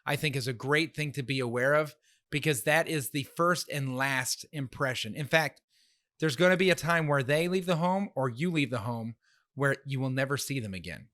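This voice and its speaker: A man with a slightly nasal voice co-hosting a casual talk show, animated and laughing often. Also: medium pitch, moderate pace, no room sound, studio quality. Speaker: podcast co-host. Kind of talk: casual chat.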